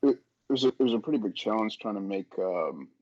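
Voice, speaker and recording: Low-voiced man, dry dull audio. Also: lively intonation, fast talker, a little mellow, no room sound. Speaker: podcast guest, author. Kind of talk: casual reflective discussion.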